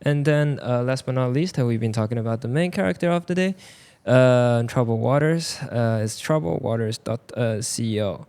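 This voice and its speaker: A man whose first language is Mandarin, with a Taiwanese Mandarin accent, chatting casually as a podcast guest in a clothing store, halting with many fillers and dropping in jokes. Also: medium pitch, darkish tone, clean tone. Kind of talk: casual chat.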